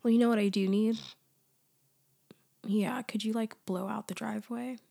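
Husky whispering woman losing her voice. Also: a little high, fairly even intonation, normal speed. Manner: soft, often whispered, drowsy. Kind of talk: ASMR girlfriend roleplay.

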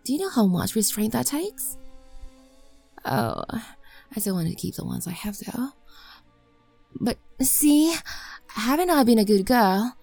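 A woman's voice: medium pitch, very animated, measured pace, vocal fry, long pauses, mellow, husky, faint music behind, heavily acted. A young woman voicing a clingy, obsessively devoted maid in an audio roleplay, pleading and stammering. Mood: begging.

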